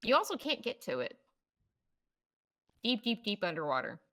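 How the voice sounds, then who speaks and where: A woman's voice: mid-range voice, sing-song, moderate pace, fairly bright tone. A woman playing a cagey, stubborn law officer in casual online roleplay, teasing and sarcastically evasive. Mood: teasing, sarcastic.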